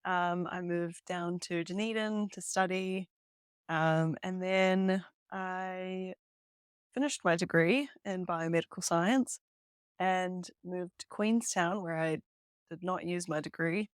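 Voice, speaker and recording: A woman's voice: lowish voice, steady tone, slow, smooth, no echo. Young New Zealand woman, podcast guest, chatty, filler-heavy, close dry sound. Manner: chatty, lots of "um" and "like", laughs easily. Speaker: podcast guest, hiking guide. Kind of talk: casual interview chat. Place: hostel room.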